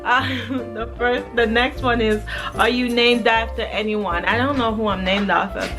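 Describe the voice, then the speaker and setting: A woman's voice: a little high, steady tone, measured pace, over music. A young woman from Jamaica, chatting casually through a Q and A vlog in a laid-back way, full of fillers. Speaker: vlogger.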